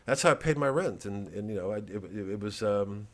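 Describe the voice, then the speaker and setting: Low-pitched, rambling American comedian. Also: male voice, expressive. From United States. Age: middle-aged. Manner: halting, digressive, with repeated words and trailing asides. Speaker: stand-up comedian and satirist. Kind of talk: rambling casual monologue to an audience of fans.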